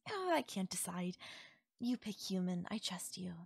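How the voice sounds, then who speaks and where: A sweetly controlling fairy woman, acted by a voice actress for an intimate audio roleplay. Her voice is husky and close, with a crackle of fry and a very dry sound. She coaxes and teases with doting, possessive affection. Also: medium pitch, sing-song, normal speed, no echo, heavily acted. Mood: playful, coaxing. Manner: coaxing, affectionate, softly teasing. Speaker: voice actor. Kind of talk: intimate audio roleplay, ASMR-style acting.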